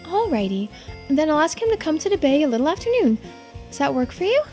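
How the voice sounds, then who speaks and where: A woman's voice: high-pitched, sing-song, fast, darkish tone, pitched above the performer's own voice. A woman voicing an earnest, self-deprecating bookish youngster in a video game, apologetic and eager to help. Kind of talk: video game character voice-over. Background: music.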